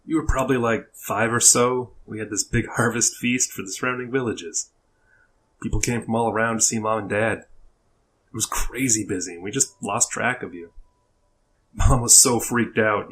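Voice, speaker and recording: A man's voice: lowish voice, moderate pace, sharp tone, a little hiss or hum, poor audio. Man acting a caring older brother, reminiscing. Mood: wistful recollection. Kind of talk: dramatic audio story dialogue.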